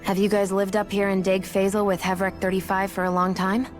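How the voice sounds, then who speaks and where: An actress playing a poised young adventurer in a video game. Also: female voice, medium pitch, completely flat delivery, brisk, faint music behind, close to an everyday voice. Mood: questioning. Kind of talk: video game cutscene voice acting.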